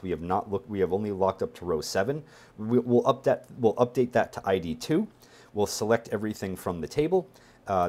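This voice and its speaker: A man in his forties with a very low voice. He walks through a technical screen-share lesson informally, talking through his thoughts as he goes. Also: darkish tone. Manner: informal, conversational, thinking aloud while demonstrating. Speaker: instructor, database consultant presenting a tutorial.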